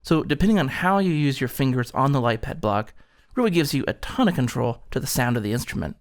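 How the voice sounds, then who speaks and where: A man's voice: mid-range voice, big pitch swings, brisk. A man presenting an instructional product demonstration, explaining things step by step.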